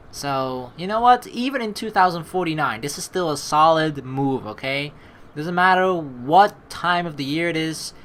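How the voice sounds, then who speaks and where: A woman's voice: low-pitched, fairly bright tone, slight background noise. A young woman reading a visual novel aloud and adding playful, chatty commentary between lines. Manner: playful, giggly, chatty asides. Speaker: let's play streamer.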